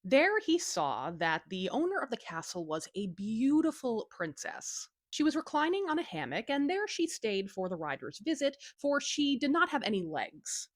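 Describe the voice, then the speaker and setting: A woman's voice: on the high side, very animated, normal speed, few pauses, no room sound, studio quality. A woman retelling a folktale as a storyteller, in a casual, cheeky and informal way from a script. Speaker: storyteller, host.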